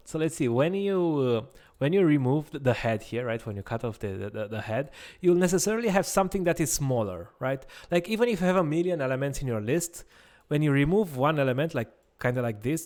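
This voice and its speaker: A man's voice: mid-range voice, expressive, fairly quick, darkish tone. A man in his thirties with a Romanian accent, patiently explaining code step by step in a live-streamed lesson and often asking "right?". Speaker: teacher. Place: small room at a desk.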